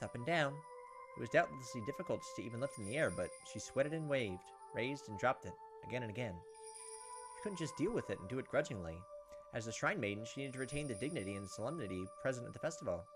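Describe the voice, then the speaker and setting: A man reading a visual novel aloud as a let's play host, with casual, crude joking asides. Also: medium pitch.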